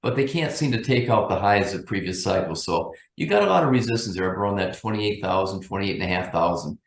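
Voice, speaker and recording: A man giving unscripted market commentary as a guest analyst, thinking aloud in a measured, cautious way. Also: deep voice, animated, vocal fry, reverberant.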